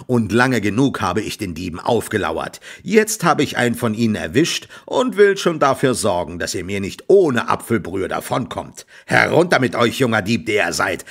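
Guy doing a rough grumpy farmer, threatening, storybook voice, lively. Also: medium pitch. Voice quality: rough, gruff. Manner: threatening, scolding. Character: gruff stout middle-aged male farmer. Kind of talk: audiobook character voice.

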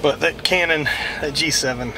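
A man's voice: on the high side, normal speed, noisy background. A young man with a Texan accent talking casually to a dashboard camera from inside his pickup truck, rambling with lots of fillers. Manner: relaxed, rambling, many fillers. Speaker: vlogger. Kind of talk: casual vlog talk to camera. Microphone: camera mounted on the dashboard.

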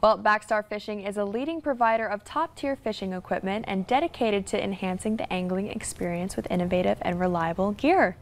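A woman's voice: medium pitch, fairly quick, hardly any pauses. A young woman hosting a TV morning show, reading upbeat intros and asking a guest questions with short encouraging replies. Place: TV studio set. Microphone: lapel mic.

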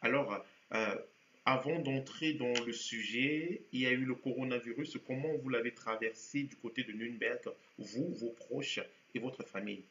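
A man's voice: medium pitch, moderate pace, pushed and bright. A middle-aged man hosting a studio talk show, introducing guests with frequent hesitations and restarts. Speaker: TV show host. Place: TV studio set with virtual backdrop. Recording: roomy, dull sound with faint hiss.

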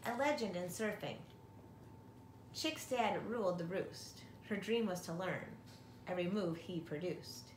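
Slow-paced woman reading to children, roomy sound. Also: lowish voice. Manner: expressive, addressing children with questions. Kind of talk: read-aloud picture book narration for young children. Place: living room at home, indoors. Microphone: camera or phone a short distance away. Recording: roomy home recording with faint noise.

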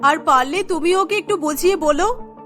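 A woman's voice: extremely high pitch, normal speed, a bit bright, faint music behind. A woman voicing an earnest, pleading woman of a poor family in a cartoon story. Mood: reassuring.

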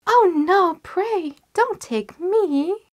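A woman's voice: extremely high pitch, lively intonation, very slow, pitched above the performer's own voice, exaggerated voice. A woman voicing the tiniest young billy goat in a storybook, timid and pleading, with a small voice.

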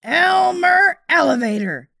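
A woman's voice: extremely high pitch, very animated, slow pace, vocal fry, bright, forceful tone, no echo, studio quality, pitched above the performer's own voice, exaggerated voice. A woman voicing a stern, scolding mother in a storybook, indignant and emphatic. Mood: angry, scolding. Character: stern, scolding mother who hates cats.

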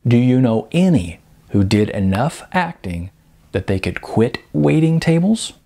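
Man putting on a smug, mocking diner, gravelly. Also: medium pitch, sing-song, a little slow, mellow, heavily acted. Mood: smug challenge. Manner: mocking, needling. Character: loud, obnoxious, smug male restaurant customer.

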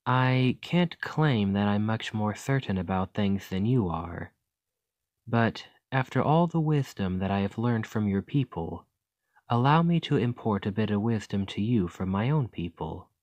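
Male actor as earnest scholar, low voice, dry close sound, gentle, hesitant, rambling, ASMR roleplay. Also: animated, unhurried, no room sound, highs cut off. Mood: reassuring. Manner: hesitant, chatty, self-interrupting, gentle.

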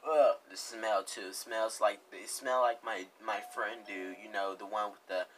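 A man's voice: lowish voice, fairly even intonation, measured pace, a bit bright, slight background noise. A young man giving casual, slangy reaction commentary, repeating himself and exclaiming jokingly. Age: young adult. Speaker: reaction video host. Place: indoor room with green screen.

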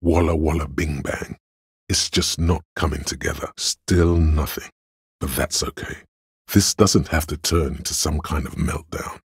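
A man voicing Logic as the calm, cerebral inner voice of a detective in a video game, measured, dry and analytical, with a raspy voice. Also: no echo, exaggerated voice. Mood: level-headed, disappointed. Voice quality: very deep, gravelly rasp.

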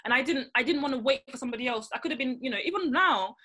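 Fast, bright-voiced woman on a call. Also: high voice. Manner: emphatic, animated, quick to laugh. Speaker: founder of a language school, guest. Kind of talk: casual video-call interview. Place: home room, indoors. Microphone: laptop webcam on a video call.

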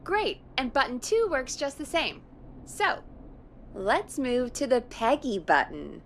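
An actress playing a perky, teasing radio producer in a video game, playful and bubbly. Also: female voice, high-pitched, very animated, slow, slight background noise, full character voice. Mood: upbeat, instructing. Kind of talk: game voice acting.

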